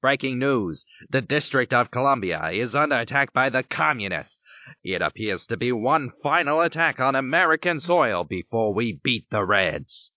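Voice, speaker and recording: A man's voice: mid-range voice, sing-song, normal speed, no room sound, lightly acted. A man playing an urgent, patriotic war-time radio announcer reading theatrical scripted news bulletins. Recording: dull band-limited radio broadcast sound.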